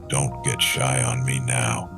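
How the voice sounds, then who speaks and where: Super deep gravelly noir wrestler tough guy, really slow and threatening, music behind. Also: completely flat delivery, heavily acted. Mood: menacing taunt. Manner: terse, menacing, barely opening his mouth. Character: grim masked wrestler vigilante, menacing gravel-voiced man. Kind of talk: pulp noir audio drama acting.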